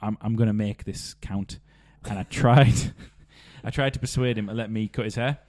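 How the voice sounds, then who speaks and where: A man's voice: lowish voice, fairly even intonation, moderate pace, darkish tone. A man hosting a podcast, rambling through anecdotes and laughing mid-sentence. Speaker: podcast host. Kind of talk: casual chat.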